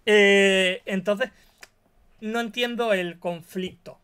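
A young man sets out his view firmly, stacking up one point after another. His voice sits on the high side for a man. He talks into a headset from a dim room at home. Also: fairly even intonation, slow pace, fairly bright tone, clean tone. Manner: emphatic, opinionated, building his case point by point. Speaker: co-host. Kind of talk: casual streamed chat.

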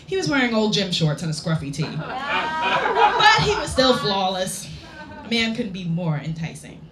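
Expressive woman reading aloud, very lively pitch. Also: crowd noise. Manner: playful, theatrical, with dramatic pauses. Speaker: author reading own work. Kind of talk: expressive story reading to a live audience. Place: indoor lounge at a public reading event. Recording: roomy live venue recording with background noise.

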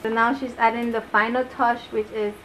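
A woman's voice: on the high side, flat delivery, brisk, crowd noise, low-quality recording. A young woman hosting a casual home cooking vlog, chatty and enthusiastic as she explains each step. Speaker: vlog host. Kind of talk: casual cooking commentary to camera. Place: small home kitchen, indoors. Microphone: camera microphone. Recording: clear kitchen noise, camera mic. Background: kitchen cooking ambience.

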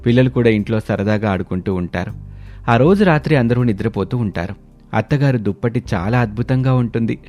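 Mellow male storyteller. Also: mid-range voice, a little fast, soft background music. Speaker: narrator. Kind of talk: scripted storytelling narration.

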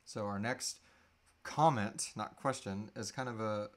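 A man's voice: lowish voice, a little slow. A man in his thirties chatting casually and jokily off the cuff as host of a live Q&A, at a computer mic in a small room at home. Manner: jokey, playful. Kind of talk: casual off-the-cuff livestream chat.

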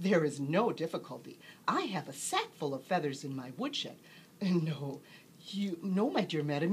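A sly, genteel storybook fox, acted out by a woman, speaks with courtly, emphatic politeness. The pitch sits low and moves with lively swings, over some background noise. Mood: courteous, reassuring. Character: suave, polite, sly gentleman fox with sandy whiskers. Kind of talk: storybook character reading.

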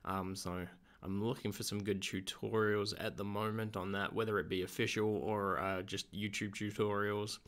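A young man, slightly hoarse from being sick, chatting in a relaxed, rambling way with lots of ums in a video diary at home. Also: fairly low pitch, normal speed. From Australia. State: slightly hoarse, getting over an illness. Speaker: vlogger.

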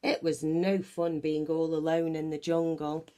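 A woman reading a children's picture book aloud in an animated, deliberate manner. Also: sits low. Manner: animated, deliberate, acts out sound effects. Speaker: children's story reader. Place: small bedroom at home, indoors.

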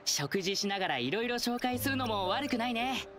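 A woman's voice: on the high side, animated, normal speed, background noise, natural voice. A woman voicing a cheerful, eager young male lion dancer in a video game, with a friendly, earnest, boyish manner.

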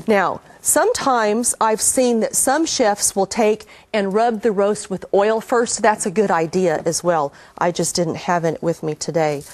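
A woman's voice: medium pitch, a little mellow. Chatty woman hosting a cooking show. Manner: chatty, gives tips straight to camera. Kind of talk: friendly cooking demonstration. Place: indoor TV studio kitchen set.

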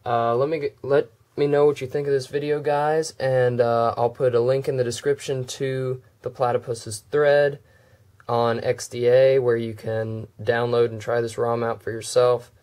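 A man's voice: medium pitch, moderate pace. Man with a cold showing off a phone. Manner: relaxed, many "uh" and "um" fillers. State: hoarse, fighting a cold. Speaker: tech video creator. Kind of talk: casual screen demo walkthrough.

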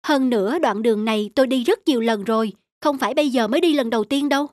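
A woman's voice: a little high, fast talker, a little mellow, no room sound, clean studio sound, close to an everyday voice. A woman playing an earnest, decisive female doctor, speaking emphatically in a cartoon drama. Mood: indignant.

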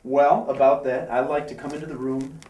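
A man playing a smarmy, smooth-talking adult-film actor at an audition in a comedy sketch. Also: medium pitch, little pitch movement, brisk, darkish tone, lightly acted. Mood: sleazy, confident. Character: smarmy, sleazy male porn actor at an audition. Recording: echoey room, distant mic.

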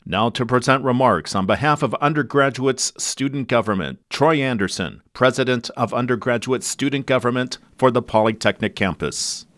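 A man's voice: medium pitch, normal speed, hardly any pauses, a bit bright, no echo. A man makes formal, scripted ceremony announcements in a dry voice-over. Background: faint music.

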